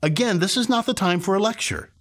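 A man's voice: high voice, fast. A man in his sixties, a pastor giving a conversational teaching to camera, emphatic and fond of rhetorical questions. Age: 60s. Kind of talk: conversational sermon to camera with acted-out examples. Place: furnished living room, indoors.

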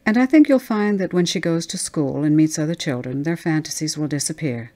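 Woman playing old male doctor, reassuring, measured, professional, low mellow voice, story character. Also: lightly acted.

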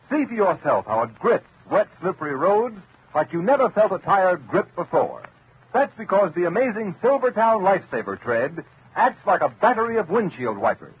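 High, husky old-time radio ad man. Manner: enthusiastic, salesman-like. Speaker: commercial announcer. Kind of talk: radio advertisement read. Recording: tinny old radio broadcast.

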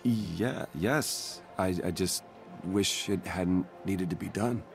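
An actor playing a gentle, remorseful middle-aged scientist, hesitant and subdued, in a video game. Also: male voice, sits low, slow, gravelly, exaggerated voice. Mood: subdued regret. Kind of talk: naturalistic video game cutscene acting. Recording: music behind the dialogue.

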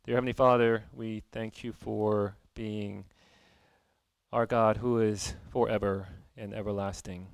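Gravelly low man leading prayer. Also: flat delivery, dry recording. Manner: measured, reverent reading. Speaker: church service leader. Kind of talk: call to worship reading and prayer. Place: church.

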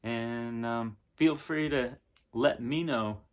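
A man in his thirties reading a picture book aloud in a casual, unhurried way with pauses between phrases. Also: mid-range voice. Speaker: reader of children's picture books. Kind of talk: gentle read-aloud storytelling and casual chat. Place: small living room indoors, seen on camera.

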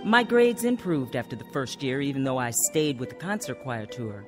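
A woman's voice: rumbling low, very animated, normal speed. An actress playing an earnest, determined young woman who recounts her student years in a radio drama. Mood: reflective. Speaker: narrator and lead actor. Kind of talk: radio drama acting and first-person narration. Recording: reverberant radio drama with music.

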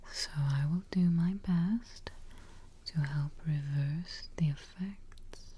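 Very slow, whispering young woman. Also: deep voice. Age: young adult. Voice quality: breathy whisper. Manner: gentle, soothing, reassuring, unhurried. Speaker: ASMR roleplayer as caretaking witch. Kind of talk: soft-spoken ASMR roleplay. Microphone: close microphone. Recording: faint background noise, soft ambience.

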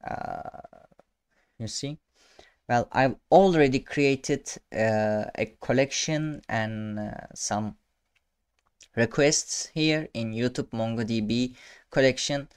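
A young man whose first language is Turkish walks through code in a casual, unhurried way. He pauses often, restarts sentences and fills gaps with "uh" while chuckling at his own slips. His voice sits at an ordinary middle pitch. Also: smooth. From Turkey. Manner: halting, many fillers and restarts, self-amused. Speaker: programming tutor. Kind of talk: casual screen-share coding tutorial. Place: small room indoors.

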